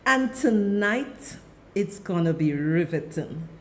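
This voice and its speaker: A woman's voice: lowish voice, expressive, takes it slowly, a little hiss or hum. A woman with a Trinidadian accent hosting her own radio talk show, chatting directly to her listeners into a studio mic. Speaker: radio and web show host.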